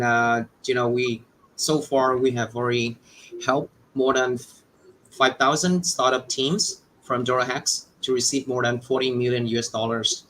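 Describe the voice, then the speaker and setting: A man's voice: mid-range voice, a bit bright, rough recording. A man giving a prepared introduction of his organization as a company representative. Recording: online call with faint noise.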